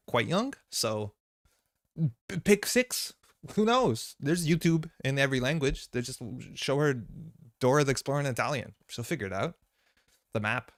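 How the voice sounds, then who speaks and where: A man's voice: mid-range voice, a bit bright, dry recording, clean studio sound. A man whose first language is Slovenian, hosting a live stream in loose, rambling casual chat with many fillers and restarts. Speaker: stream host. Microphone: desk mic.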